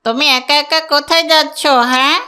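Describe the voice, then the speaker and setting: A wicked fox from a cartoon fairy tale. The voice is high and sharp, sly and falsely friendly, with a gloating cackle ready to break out. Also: female voice, fairly even intonation, brisk. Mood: sly, coaxing. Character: sly, wicked predatory fox who tricks ducklings. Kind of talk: animated fairy-tale voice acting.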